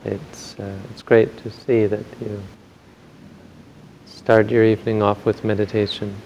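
Very quiet guy giving a dhamma talk, gravelly, kinda monotone, lots of uh's and restarts. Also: fairly low pitch, measured pace, lo-fi sound, slight background noise. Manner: gentle, hesitant, with frequent fillers and restarts. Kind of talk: soft-spoken Dhamma talk.

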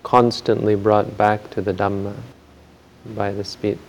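Soft-spoken man with a gravelly voice. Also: on the low side, flat delivery, a little slow, slight background noise, poor audio. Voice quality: very quiet voice. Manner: gentle, hesitant, with frequent fillers and restarts. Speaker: Buddhist teacher giving a Dhamma talk. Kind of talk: soft-spoken Dhamma talk.

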